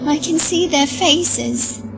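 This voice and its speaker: A woman's voice: sits high, slow pace, gravelly, over music, heavily acted, poor audio. A woman playing a timid, earnest young girl in an audio drama, with a hesitant, childlike and plaintive manner. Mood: uneasy.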